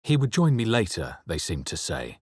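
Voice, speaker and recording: A man playing a wary, brooding fantasy adventurer in a video game, with a husky voice and a grave, measured delivery. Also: low-pitched, wildly swooping pitch, a little mellow, no room sound, clean studio sound, exaggerated voice. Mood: grave, reflective. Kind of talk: dramatic fantasy game narration and character lines.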